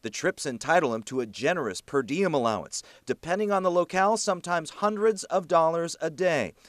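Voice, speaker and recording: A man narrating a scripted TV news report as an investigative reporter, with emphatic, clearly enunciated delivery. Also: fairly high pitch, expressive, fairly quick, no room sound.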